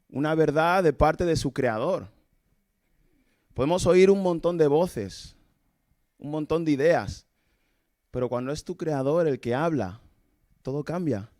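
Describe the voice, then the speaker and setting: A man's voice: fairly high pitch, darkish tone. Man preaching casually. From Cameroon. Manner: informal, asks rhetorical questions, chuckles between points. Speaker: evangelist preacher. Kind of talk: conversational sermon. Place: church service.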